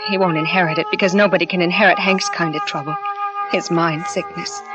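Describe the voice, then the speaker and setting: An actress playing a troubled young wife in a 1940s radio drama, narrating in a halting, confiding way. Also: female voice, on the low side, steady tone, close to an everyday voice. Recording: dull old radio recording with music.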